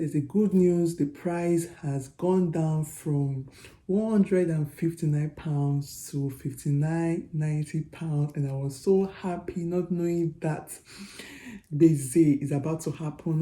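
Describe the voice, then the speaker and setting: A young Nigerian woman with a Nigerian accent, telling a story to her vlog viewers in a chatty, animated way. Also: low voice, echoey room. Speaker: vlogger. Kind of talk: storytelling to camera.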